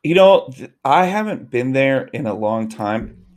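Podcast co-host, male, forties, rambling casual chatter, mid pitch, easy laughs. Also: animated, measured pace, a little mellow. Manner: rambling, self-correcting, laughs easily.

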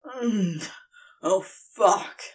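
A flustered demon acted by a woman in an audio story. He sounds strained and incredulous, with theatrical swings in pitch and a slow, drawn-out delivery. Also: lively intonation, far from the mic. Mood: theatrically groaning. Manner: strained, groaning or squeaky delivery. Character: stressed, incredulous, swearing male demon.

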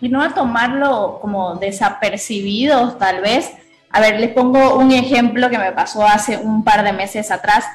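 A high-pitched woman talks casually and confidingly, sharing a personal story. Her voice rings out in a roomy, echoey space with faint music underneath. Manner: chatty, shares personal anecdotes in a quick confiding way. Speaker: co-host. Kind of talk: casual chat.

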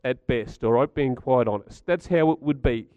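Middle-aged man with a croaky voice, chatty talk to an audience, mid pitch, dry muffled recording. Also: moderate pace, a little mellow, no echo. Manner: chatty, stats-driven, asks the audience questions. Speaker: speaker at a community gathering.

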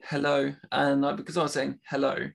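A man's voice: mid-range voice, completely flat delivery, normal speed, gravelly. A man who stammers, talking casually and openly with many fillers. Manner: stammers, talks openly about it. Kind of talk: casual conversation.

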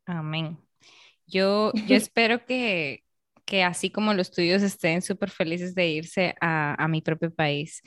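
A woman from Peru hosting a casual conversation, speaking thoughtfully with pauses. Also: fairly low pitch, a bit bright, dry recording.

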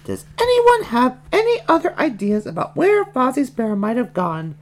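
Storybook piglet girl: very high, self-important, excitable, wildly animated. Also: normal speed. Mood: earnest. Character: bossy little girl piglet, prim and excitable.

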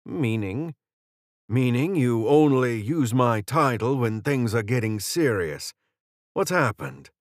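A man voicing a composed older woman in authority, direct and measured, in an audiobook story. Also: medium pitch, very animated, no echo, studio quality. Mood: serious.

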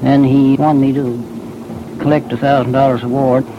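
A man with a Southern Appalachian accent, a storekeeper plainly recounting what he saw in a halting, folksy manner. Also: mid-range voice, flat delivery. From rural Virginia, United States. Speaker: storekeeper and eyewitness. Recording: noisy, dull old field recording.

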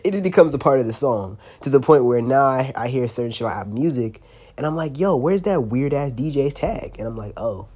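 A man's voice: medium pitch, lively intonation, a little fast, darkish tone. A young man with a cold hosts a casual solo podcast, sounding stuffy and congested, and talks in a loose, slangy way with plenty of swearing. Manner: loose and slangy, swearing, laughing now and then. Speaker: podcast host.

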